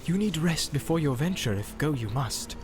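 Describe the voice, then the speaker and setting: A man voicing a proud, tall warrior who is grasping and quick to rage, in a booming, declamatory read. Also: animated, over music, close to an everyday voice. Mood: persuasive. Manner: booming declamatory delivery, rising to shouts.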